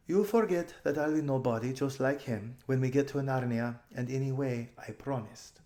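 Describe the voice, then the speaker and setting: A man's voice: mid-range voice, moderate pace, warm tone, reverberant, natural voice. A man voicing a determined, practical young noble girl in a children's storybook, with a firm, matter-of-fact manner.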